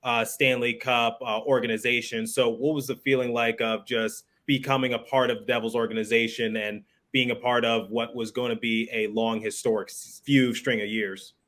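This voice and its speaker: A man's voice: medium pitch, flat delivery, moderate pace, few pauses, fairly bright tone. A man hosting a sports podcast, talking in a conversational style. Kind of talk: conversational interviewing.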